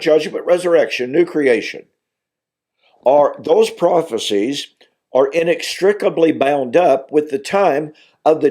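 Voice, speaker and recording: A man's voice: fairly high pitch, darkish tone. An older man, speaking as a minister teaching on video, his voice weakened from recovering after losing it, earnest and growing emphatic on key points. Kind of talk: Bible teaching monologue.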